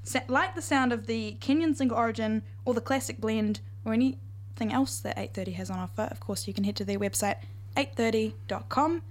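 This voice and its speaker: A New Zealand woman hosts a breakfast radio segment in a relaxed, chatty way. Her voice sits a little high, and she talks upbeat with quick asides. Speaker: radio breakfast show host. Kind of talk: casual on-air chat.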